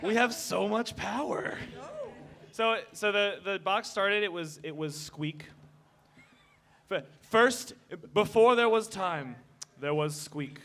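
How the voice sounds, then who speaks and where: A man's voice: high-pitched, slow pace. A man leading an informal fan convention panel, speaking into a microphone before a live audience. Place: convention panel room, indoors. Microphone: handheld or table microphone. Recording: live PA recording with loud audience.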